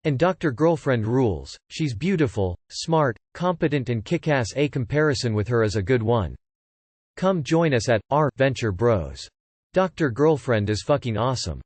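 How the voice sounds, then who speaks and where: Guy reading out reddit comments, flat even delivery, dry close mic, mid pitch. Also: normal speed, dry recording. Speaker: reader of online comments. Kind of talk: scripted narration.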